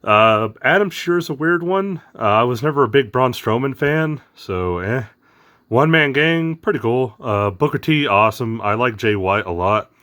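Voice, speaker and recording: A man with a hoarse, raspy voice from losing it, chatting casually as the host of an unboxing vlog, rambling with lots of fillers. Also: medium pitch, expressive, normal speed, fairly bright tone. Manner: rambling, lots of ums and uhs.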